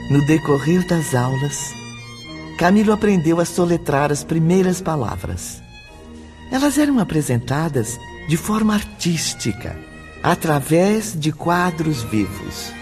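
A man with a Brazilian accent reading a scripted radio drama narration in a measured, solemn way. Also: on the high side, very animated, darkish tone, faint music behind.

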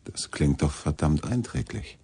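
An actor playing a troubled, cynical investigative journalist in a German radio drama, brooding and conversational. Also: male voice, rumbling low, expressive, measured pace, mellow, husky, exaggerated voice.

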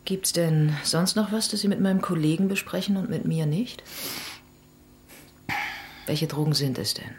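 A tough female detective, played by an actress in a radio drama speaks in a notably low voice. Her delivery is dry, needling and insistent. There is clear noise around her. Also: moderate pace, long pauses, darkish tone, natural voice. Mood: needling, pressing. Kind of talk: naturalistic German radio drama acting.

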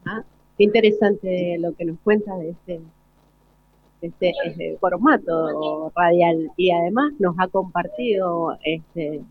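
A woman's voice: on the low side, slow, a little hiss or hum. A woman with an Argentine accent hosting a live radio interview. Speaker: radio host. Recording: phone-like, dry radio sound.

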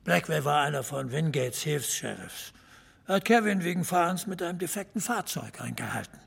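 A man playing a drily knowing small-town club regular in an audio drama, telling local stories with emphasis in a gravelly, husky voice. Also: on the high side, animated, moderate pace, heavily acted. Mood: wry, knowing. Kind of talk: German audio drama dialogue.

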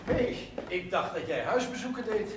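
Parish priest: teasing authority, animated pitch, naturalistic TV drama, roomy sound. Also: male voice, moderate pace. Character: parish priest, bantering but authoritative senior clergyman. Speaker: actor in a television drama. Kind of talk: naturalistic television drama dialogue. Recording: roomy, noisy old television soundtrack.

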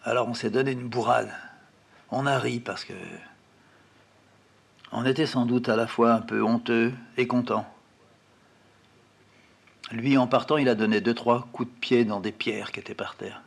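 Guy narrating an old memory, gravelly and kinda husky, low voice, calm and plain. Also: unhurried, long pauses. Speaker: storyteller. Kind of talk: first-person memoir narration.

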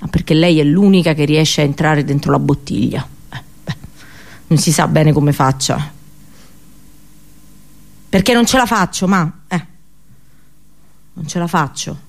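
Woman performing a dramatic, halting monologue. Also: low-pitched, vocal fry. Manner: dramatic, halting, with deliberate pauses and repetitions. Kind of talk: theatrical radio drama monologue.

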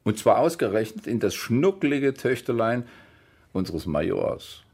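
An actor playing a wry former soldier who recounts his past in colloquial German radio drama monologue, with a gravelly, husky voice. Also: medium pitch, sing-song, unhurried, a little mellow, heavily acted.

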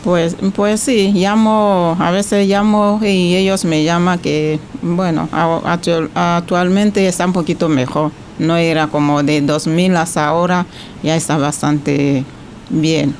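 A woman's voice: lowish voice, steady tone, takes it slowly, darkish tone, a little hiss or hum. A woman from the Ivory Coast, a guest giving brief, hesitant answers in an interview.

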